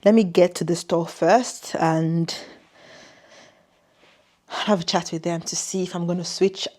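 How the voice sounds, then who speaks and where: A woman's voice: fairly low pitch, steady tone, a little slow, warm tone. A woman from Nigeria chatting casually to her vlog viewers in an exclamatory, conversational way. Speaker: vlogger. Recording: clear ambient and vehicle noise.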